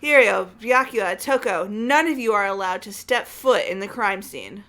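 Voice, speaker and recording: A woman's voice: medium pitch, lively intonation, moderate pace, bright, forceful tone. A woman voicing a stubborn, angry, grieving and loyal young woman in a fan-voiced visual novel game. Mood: angry, defiant.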